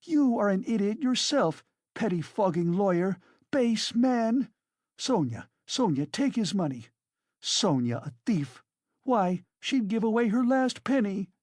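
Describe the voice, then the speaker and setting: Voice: mid-range voice, big pitch swings, measured pace, hardly any pauses, no echo, clean studio sound, voice pushed up. A reader voicing a frantic, defiant widow who shrieks and accuses in hysterical fury, as an audiobook character.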